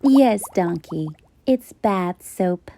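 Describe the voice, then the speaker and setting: A woman's voice: medium pitch, animated, very slow, vocal fry, warm tone, slight background noise, voice pushed down, exaggerated voice. A woman voicing a neat, fussy, dainty female unicorn in a children's storybook. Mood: pleased. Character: neat, prissy female unicorn, proud of beauty. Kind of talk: children's storybook character acting.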